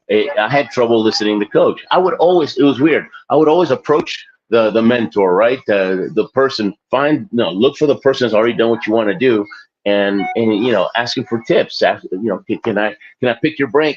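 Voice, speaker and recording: A man's voice: medium pitch, lively intonation, a little fast, highs cut off. A man in his forties, a guest in an interview, talking casually in a rambling, self-deprecating way and laughing often. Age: 40s. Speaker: guest, Olympic athlete and author.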